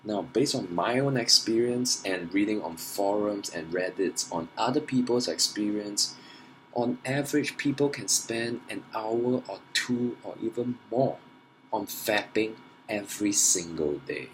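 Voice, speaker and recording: A man's voice: on the low side, expressive, a little slow, slight background noise, poor audio. A young man talking earnestly and emphatically to camera in a personal challenge vlog, trying to persuade his viewers. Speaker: vlogger.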